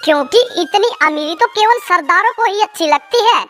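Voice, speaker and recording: A cartoon voice actor playing a sly, fawning village swindler who schemes and flatters theatrically, with children's music behind. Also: very high-pitched, normal speed. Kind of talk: animated moral story character dialogue.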